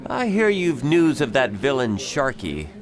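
A man's voice: mid-range voice, big pitch swings, unhurried. A man playing a grave, determined shipowner in a radio drama, with formal, commanding, old-fashioned speech. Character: grave, determined bereaved shipowner seeking revenge.

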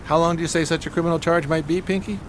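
An actor playing a grandiloquent, gentlemanly homeless con man in a 1920s New York radio play, speaking in florid, over-polite phrases with a pompous theatrical manner. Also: a little high, steady tone, rapid-fire, slight background noise, lightly acted. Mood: eager, scheming.

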